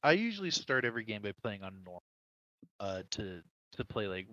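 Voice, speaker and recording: A man's voice: lowish voice, very animated, a little fast, bright, forceful tone, no echo, dull audio. A man speaking as a podcast guest, casually and reflectively explaining his own preferences in video games.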